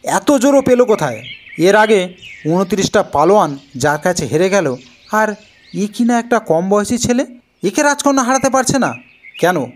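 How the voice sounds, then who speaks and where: A man's voice: high voice, expressive, moderate pace, pauses often. A man voicing an imperious older king in a fairy-tale cartoon, commanding and questioning, with a suspicious, threatening temper.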